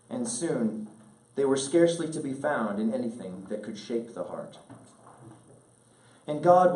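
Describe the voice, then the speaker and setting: A man reads a literary letter aloud in a measured, earnest, oratorical way, pausing deliberately, recorded at a distance in an echoing room. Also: medium pitch, a little mellow. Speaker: speaker reading a letter aloud at an event. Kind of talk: solemn literary letter read aloud to an audience. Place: indoor event room.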